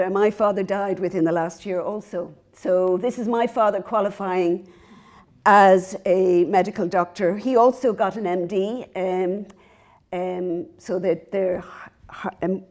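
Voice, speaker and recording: A middle-aged Irish woman gives a university lecture, her voice mellow and soft-edged. She speaks earnestly, with small self-deprecating laughs between her points. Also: mid-range voice. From Ireland. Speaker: professor giving a lecture. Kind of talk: public lecture. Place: lecture hall.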